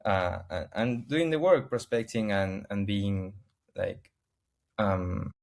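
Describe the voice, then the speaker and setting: A man from Argentina with an Argentine Spanish accent, a native Spanish speaker, answering interview questions in halting English full of restarts and searches for words over a video call. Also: on the low side, creaky. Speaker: interview guest.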